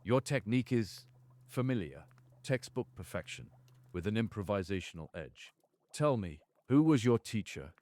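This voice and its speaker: A man playing a stern, sardonic potions teacher in a fan audio drama, speaking in a deliberate, condescending way. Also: lowish voice, sing-song, a little mellow. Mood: probing. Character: stern, sardonic male potions master, cold and exacting.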